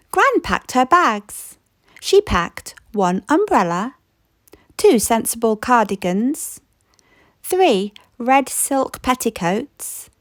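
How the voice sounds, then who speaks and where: A woman reads a children's picture book aloud, unhurried and playful. Her voice is fairly high and swings widely in pitch, and the recording is close and dry with no room sound. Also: dry recording. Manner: slow, expressive read-aloud with playful rhythm. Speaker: storybook reader.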